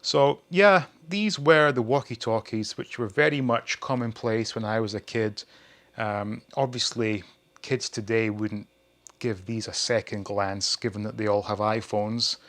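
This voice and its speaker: A man's voice: fairly low pitch, fairly even intonation, a little slow, a bit bright. Casual man in his forties reviewing retro toys. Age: 40s. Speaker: host of a retro toy channel. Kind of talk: casual hobby review to viewers.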